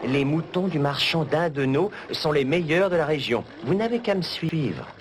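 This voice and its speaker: Man as animated, high-voiced cartoon rogue. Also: normal speed, natural voice. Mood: cheerful, persuasive. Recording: noisy VHS rip with background ambience.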